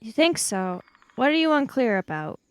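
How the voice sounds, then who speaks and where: Woman as sensible kid in a tabletop mystery: high pitch, close dry sound, matter-of-fact questioning. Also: very animated, moderate pace, clean tone, no room sound. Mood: organising. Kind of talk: improvised in-character tabletop roleplay.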